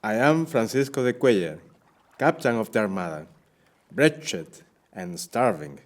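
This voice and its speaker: A man's voice: fairly low pitch, animated, measured pace. A man playing a weary, plaintive shipwrecked Spanish sea captain in an audio play, speaking in formal, archaic phrases. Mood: weary, pleading. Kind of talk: audio play acting, first-person account.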